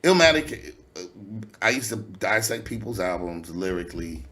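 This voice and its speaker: A man with a very low voice that still comes out nasal, talking. He speaks loosely and candidly, full of "you know" and casual swearing. It sounds like a relaxed interview chat. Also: moderate pace, a little hiss or hum. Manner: loose, candid, swearing freely, many fillers. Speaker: rapper, guest.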